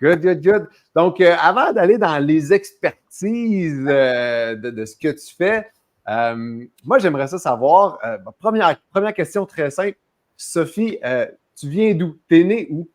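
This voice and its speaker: A podcast host, a man with a Quebec French accent, leads the interview in a casual, chatty way. His voice sits a little high for a man. He hesitates often and restarts his sentences as he goes. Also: expressive, a little slow.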